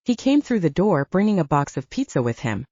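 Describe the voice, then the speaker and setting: A woman's voice: on the low side, big pitch swings, a little fast, mellow, no room sound, muffled recording. A woman reading scripted first-person audiobook narration.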